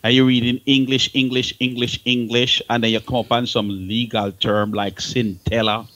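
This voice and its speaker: A man's voice: medium pitch, little pitch movement, normal speed, fairly bright tone, dull audio, slight background noise. A man with a Jamaican accent giving informal advice on a talk show panel, emphatic and often repeating his words and phrases.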